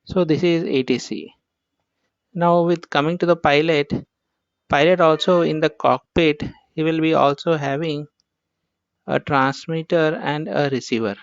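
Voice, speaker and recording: Smooth Indian-accented man explaining, slightly muffled. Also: fairly high pitch, no room sound. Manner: repetitive, step-by-step explaining. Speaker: instructor. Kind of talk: informal explanatory tutorial.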